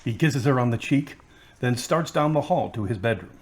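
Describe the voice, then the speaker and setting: A man's voice: medium pitch, animated, normal speed. A man reading scripted scene narration for an audio drama as its narrator.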